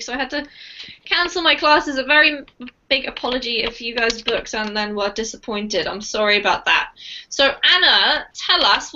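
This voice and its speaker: A woman with a high, bright voice leads a live online English lesson in a friendly, chatty way. She speaks over a video call, and the sound comes through dull and lo-fi. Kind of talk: live online group class. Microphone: computer mic on a video call.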